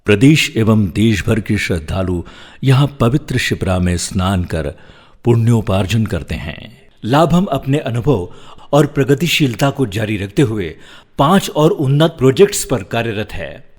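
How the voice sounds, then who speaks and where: A middle-aged man delivering scripted promotional and documentary narration in a dramatic, measured, emphatic way. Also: mid-range voice, animated, a little mellow. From India. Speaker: voice-over artist.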